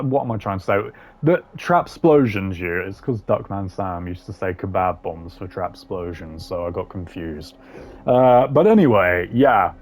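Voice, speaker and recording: A man's voice: on the low side, a little mellow. A man giving casual, opinionated commentary as a gaming video creator, blunt and informal with plenty of slang. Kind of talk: casual opinionated commentary ranking game voice lines.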